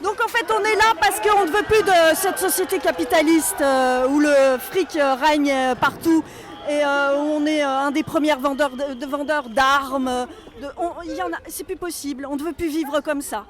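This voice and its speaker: A woman's voice: high-pitched, steady tone, normal speed. A woman giving an off-the-cuff street interview as an indignant protester, emphatic and repeating herself. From Nancy, France. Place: outdoors in the street amid a demonstration. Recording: loud crowd and street noise. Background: crowd and city noise.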